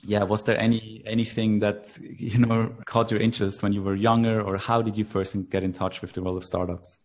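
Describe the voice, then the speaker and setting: A man's voice: fairly low pitch, little pitch movement, brisk, darkish tone. A man hosting a podcast interview, asking friendly, curious questions with some hesitant phrasing. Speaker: podcast host.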